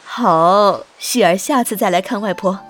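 A woman playing a caring older mother and grandmother who gently admonishes, with a husky voice, in a Chinese period audio drama. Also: medium pitch, unhurried, soft background music, close to an everyday voice. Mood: tender. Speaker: voice actor in an amateur audio drama.

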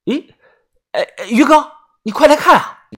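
A narrator voicing a brash, excitable young male tomb raider in an audiobook, blunt and urgent. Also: medium pitch, normal speed, breathy edge. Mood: urgent surprise. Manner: blunt, urgent, forceful questioning.

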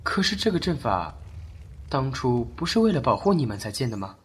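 A man's voice: medium pitch, big pitch swings, fairly quick, husky. An actor playing an aloof young talisman master who speaks in short, cool replies in a fan audio drama. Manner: curt, cool.

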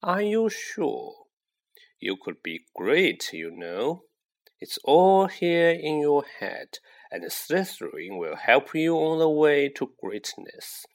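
A man voicing a slow, musing old talking hat. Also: a little high, very animated, heavily acted. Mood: coaxing. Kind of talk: audiobook character voice.

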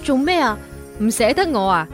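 Woman playing a coy, high-voiced young heroine. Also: big pitch swings, moderate pace, soft background music. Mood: playful, teasing. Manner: playful, coy. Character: sweet, teasing young museum worker heroine. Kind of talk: romantic radio drama dialogue.

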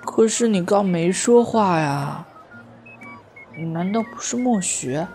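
A woman's voice: fairly low pitch, lively intonation, slow pace, warm tone, faint music behind. A woman playing a blunt, eccentric, self-assured teenage schoolboy artist in an amateur audio drama, speaking in a bold, matter-of-fact way. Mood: self-assured, insistent.

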